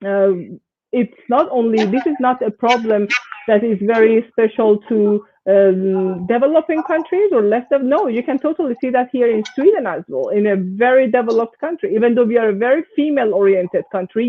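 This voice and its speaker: A woman from Iran speaking as a panelist in an online discussion, hesitant with many fillers and restarts. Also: fairly high pitch. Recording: tinny phone-like call audio.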